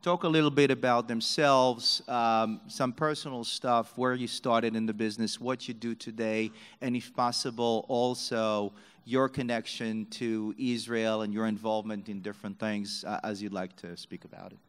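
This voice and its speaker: A man's voice: medium pitch, flat delivery, normal speed, clear, resonant tone. A man moderating a conference panel, handing the floor to the panelists with some hesitation. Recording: reverberant conference hall.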